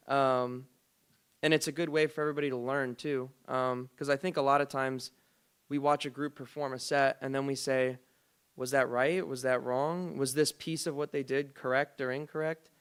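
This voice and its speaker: A man's voice: mid-range voice, a bit bright, clear, resonant tone. A man giving a casual, loose presentation to a forum into a microphone, thinking aloud with lots of "like" and "kinda". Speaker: dance group director presenting to a forum.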